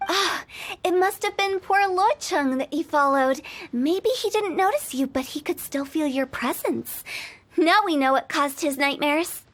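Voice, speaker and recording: A woman's voice: high voice, a little slow. A woman voicing a playful, self-assured young funeral parlor director in a game. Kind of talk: game voice acting.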